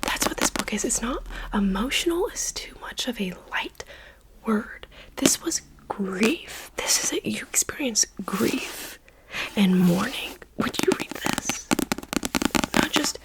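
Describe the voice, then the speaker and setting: A woman's voice: on the high side, lively intonation, takes it slowly, poor audio. A young woman whispering a chatty, dramatic book review in an ASMR style.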